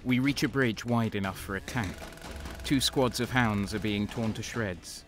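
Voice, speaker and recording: A man's voice: lowish voice, unhurried, a bit bright. A man playing a renegade guardsman who narrates his own battles in a first-person audio drama. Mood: grim, intense. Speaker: narrator and main character. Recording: music behind the voice. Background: dramatic music.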